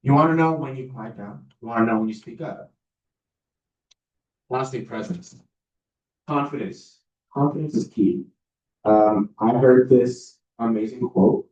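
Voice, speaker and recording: A young man talks to a student club about public speaking, relaxed and confident, pitching his voice lower for authority. He is picked up from across a reverberant meeting room, and the sound is a bit dull. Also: unhurried, pauses often. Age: young adult. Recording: roomy, distant mic, dull sound.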